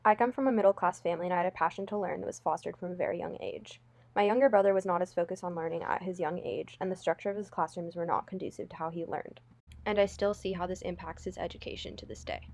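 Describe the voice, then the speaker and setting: A woman's voice: fairly low pitch, fast talker, a little mellow. A young American woman reading a scripted personal statement as a student. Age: young adult. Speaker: student speaker.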